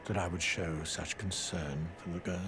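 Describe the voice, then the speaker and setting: An actor playing a cunning, scornful aging sage in a fantasy game, theatrical and musing, dropping at times into hushed phrases. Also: male voice, low voice, fairly even intonation, normal speed, slight background noise. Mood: wistful. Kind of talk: scripted fantasy game dialogue.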